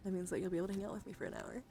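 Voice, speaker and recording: A woman hosts an ASMR livestream in a soft, gentle voice at a medium pitch. She speaks close to the mic and often slips into whispers. Background ambient noise is clearly audible behind her. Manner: frequently drops into whispers. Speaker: livestream host. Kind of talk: soft-spoken ASMR card reading and casual stream chat.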